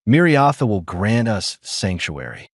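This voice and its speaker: A man's voice: lowish voice, big pitch swings, a little slow, dry recording, studio quality. A man voicing a composed alien woman diplomat who speaks formally, without contractions.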